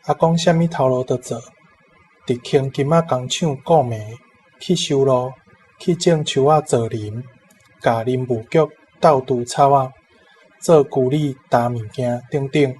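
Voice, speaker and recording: A man's voice: medium pitch, unhurried, darkish tone, a little hiss or hum. A man with a Taiwanese accent, a language teacher giving a spoken translation.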